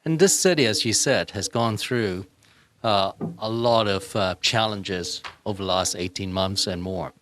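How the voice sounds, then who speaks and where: Exec guy from hong kong talking on a business panel, kinda low voice, repeats stuff for emphasis. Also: a little slow, a bit bright. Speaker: senior executive, forum panelist.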